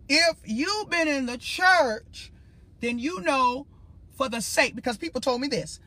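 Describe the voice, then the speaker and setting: High-voiced man preaching on a livestream. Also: pushed and bright. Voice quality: high-pitched voice. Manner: emphatic, rambling, addresses viewers directly. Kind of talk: casual livestream talk.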